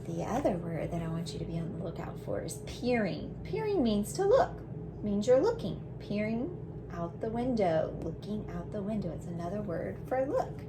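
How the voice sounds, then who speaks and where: Woman teacher over clear background noise. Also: medium pitch, sing-song, normal speed. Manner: explanatory, simple wording with worked examples. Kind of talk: read-aloud phonics lesson for young children.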